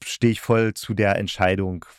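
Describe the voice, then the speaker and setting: Low-pitched man interviewing casually. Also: steady tone, normal speed, clean tone, dry recording, studio quality.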